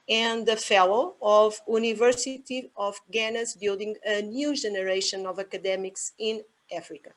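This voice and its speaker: A woman's voice: mid-range voice, a bit bright. A woman with a Portuguese accent chairing an online conference session, often hesitating with fillers. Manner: hesitant, many fillers, switches between Portuguese and English. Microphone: video call.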